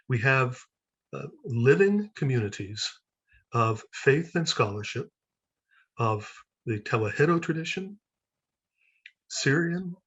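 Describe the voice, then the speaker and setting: A man's voice: medium pitch, slightly rough. An American man, a senior lecturer, introducing himself earnestly at an open day. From United States. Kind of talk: self-introduction at an online event. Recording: dull, lo-fi.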